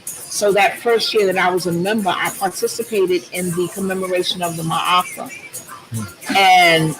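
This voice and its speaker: A woman guest with a loud, strong voice, talking earnestly and thoughtfully with frequent ums in a huge, loud space. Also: fairly low pitch, fairly even intonation, moderate pace, over music, rough recording. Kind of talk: reflective personal conversation.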